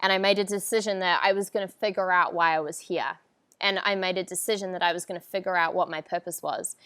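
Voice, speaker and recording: A woman's voice: lowish voice, a bit bright, clear, resonant tone, dry recording. A woman in her thirties with a New Zealand accent, giving a chatty, upbeat live-streamed motivational talk through an earphone mic. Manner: upbeat, chatty, often laughs and asks viewers for a yes. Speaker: online coach hosting a live training. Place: outdoor terrace with palm trees. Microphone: wired earphone mic on a video call.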